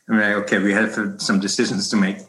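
A man's voice: fairly low pitch, little pitch movement, fast talker, fairly bright tone, roomy sound, low-quality recording. A man in his forties from Germany with a German accent, talking casually in an interview about his life as a baking teacher, rambling with many restarts. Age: 40s. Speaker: bread baking instructor.

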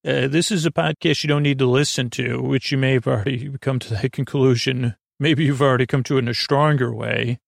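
A man hosting a bedtime podcast, talking in a casual, meandering, halting way with goofy, reassuring asides and a gravelly voice. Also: medium pitch, steady tone, no echo, clean studio sound. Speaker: podcast host.

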